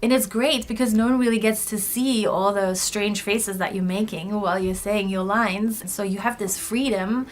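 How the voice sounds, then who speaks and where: A woman's voice: mid-range voice, steady tone, moderate pace, few pauses, slight background noise. A woman talking casually as a voice actor in a promotional interview. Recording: clear music behind the voice.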